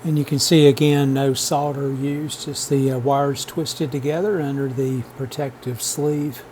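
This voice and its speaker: A man narrating a vintage radio repair as a relaxed how-to voice-over, matter-of-fact and unhurried, with frequent "uh" pauses. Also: mid-range voice, slight background noise. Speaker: radio restoration hobbyist.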